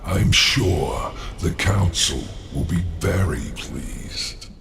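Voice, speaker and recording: A man playing an imperious, gravel-voiced emissary of a dark council, speaking in a portentous, condescending way in a video game. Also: low voice, animated, extremely slow, music in the background, exaggerated voice. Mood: menacing. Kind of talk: video game character acting.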